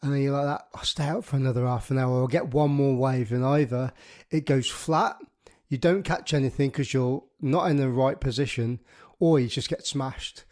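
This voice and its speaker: A man's voice: medium pitch, steady tone, normal speed, a little mellow. A man hosting a surf podcast, asking questions in a matey, chatty way and ending them with tag questions. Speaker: podcast host. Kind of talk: casual interview chat.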